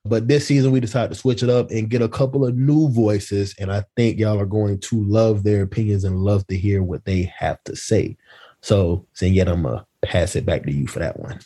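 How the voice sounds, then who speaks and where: A man's voice: on the low side, steady tone, moderate pace, warm tone. A man hosting a podcast in casual, slangy chat, playful and teasing with his co-hosts. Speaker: podcast host and creator.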